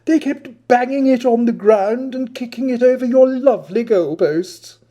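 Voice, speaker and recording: A man's voice: very high-pitched, normal speed, warm tone, pitched above the performer's own voice. A man voicing a flamboyant, witty teenage public schoolboy with a florid, affected, theatrical delivery for a novel reading. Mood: mocking, amused.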